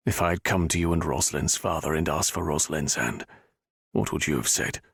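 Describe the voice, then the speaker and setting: A man voicing a heartsick young gentleman who speaks in a low, bitter murmur. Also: brisk, gravelly, slightly rough, dry recording, studio quality, heavily acted. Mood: intent.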